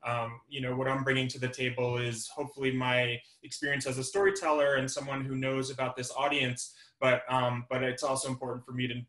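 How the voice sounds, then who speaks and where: A man talking as a showrunner in a panel discussion, measured and candid, recorded over a video call in an echoey room. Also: medium pitch, little pitch movement, a bit bright.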